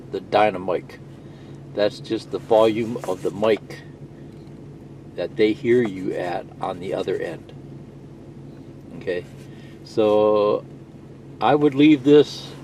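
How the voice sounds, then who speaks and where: A man's voice: lowish voice, mellow, slight background noise. A man giving a casual, plain-spoken how-to explanation in a conversational way. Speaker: product demonstrator.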